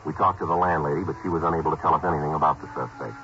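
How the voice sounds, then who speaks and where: A very deep-voiced detective speaks quick, clipped and flat, with a bright edge to the voice. The sound is a muffled old radio broadcast with faint hiss. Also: male voice. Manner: clipped, flat, matter-of-fact delivery. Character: terse, calm male police detective. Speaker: detective and narrator. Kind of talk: 1950s radio police drama.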